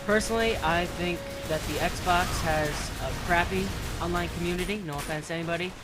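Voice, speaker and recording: A man's voice: high voice, fairly even intonation, fairly quick, loud music behind the voice. Young man with a light voice. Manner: rambling, hedging with fillers. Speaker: gaming panelist. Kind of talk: casual gaming discussion.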